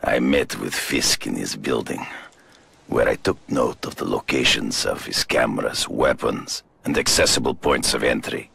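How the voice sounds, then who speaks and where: An actor playing a proud, honor-obsessed hunter villain in a video game, gravelly, formal and grandiose. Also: male voice, a bass voice, bright, forceful tone, dry recording, heavily acted.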